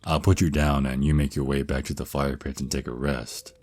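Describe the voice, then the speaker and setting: A man's voice: extremely low pitch, level tone, fairly quick, darkish tone. A man playing a tender, reassuring vampire naga mentor and boyfriend in an ASMR roleplay, speaking soothingly and affectionately.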